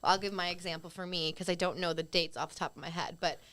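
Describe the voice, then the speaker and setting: A woman's voice: lowish voice, steady tone, fast, fairly bright tone. A woman in her thirties, a psychic guest on a podcast, chatting and laughing often, explaining with restarts. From Chicago area. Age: 30s. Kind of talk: casual podcast chat.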